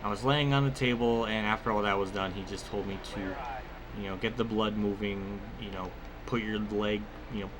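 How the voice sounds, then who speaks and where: A man's voice: lowish voice, fairly even intonation, normal speed, background noise. A man giving casual, rambling gameplay commentary mixed with personal storytelling, conversational and free with swearing. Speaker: gaming video creator.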